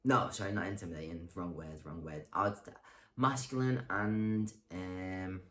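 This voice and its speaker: A young man vlogging in a casual monologue, rambling and hesitant, with lots of ums and self-corrections and deadpan self-mocking humor. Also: low voice, moderate pace, slight background noise. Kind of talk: casual talking-to-camera monologue.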